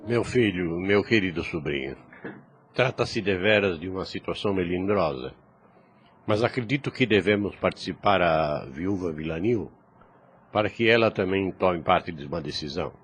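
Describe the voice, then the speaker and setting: Grave, deep-voiced older priest played by an actor. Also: male voice, animated, moderate pace, breathy edge. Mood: grave, tender. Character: older priest uncle, grave, affectionate, conciliatory. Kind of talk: audio drama acting of a religious novel.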